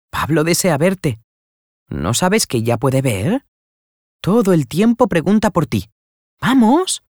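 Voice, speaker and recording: A man voicing a gentle, loving young lady who pleads affectionately with her cousin. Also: high voice, no echo, clean studio sound, pitched above the performer's own voice. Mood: eager.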